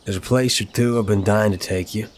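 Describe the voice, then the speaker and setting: A man playing an apologetic, flirtatious mafia boss in an intimate ASMR roleplay, speaking in a courteous, teasing, slightly halting way. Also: fairly low pitch, fairly even intonation, natural voice. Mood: flirtatious, relaxed.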